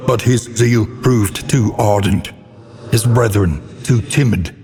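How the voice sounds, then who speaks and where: A man's voice: fairly low pitch, darkish tone, in a large hall. A man playing a grim, brooding game narrator, telling gothic horror tales in a grave, theatrical, ornate manner. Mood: dark, solemn. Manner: grave, ornate, deliberately dramatic delivery.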